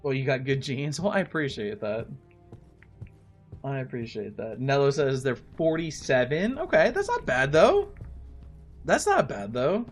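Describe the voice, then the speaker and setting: A man in his late thirties talks in a mid-pitched voice as he streams a game. He chats in a friendly, off-the-cuff way with viewers and often thinks out loud. Faint music plays behind him. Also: big pitch swings, unhurried. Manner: friendly, chatty, thinks aloud. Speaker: live streamer playing a game. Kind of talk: casual livestream chat with viewers while playing.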